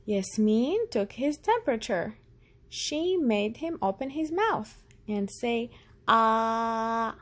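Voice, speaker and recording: A woman narrates a children's picture book. Her voice sits fairly high and moves with lively expression. She takes her time over the phrases, reading slowly and deliberately. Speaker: storyteller.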